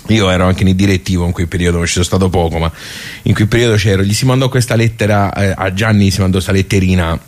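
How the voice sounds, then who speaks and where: A man with a Tuscan accent playing a simple, grumbling, nostalgic middle-aged club regular in a radio soliloquy, halting and muttering as he rambles. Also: sits low, steady tone, close to an everyday voice. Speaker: actor in a radio theatre monologue. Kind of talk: colloquial theatrical soliloquy.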